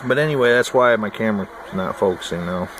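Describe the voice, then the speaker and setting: A man's voice: on the low side, fast talker. An American man chatting casually to camera in a laid-back, folksy, hesitant way, like a rambling hobbyist. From United States. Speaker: hobbyist vlogger. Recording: noisy amateur camera audio.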